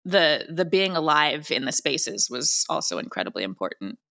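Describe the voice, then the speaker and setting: A woman's voice: low-pitched, very animated, normal speed, creaky, fairly bright tone. A woman chatting casually as an interview guest about her creative work. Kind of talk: casual, animated interview chat.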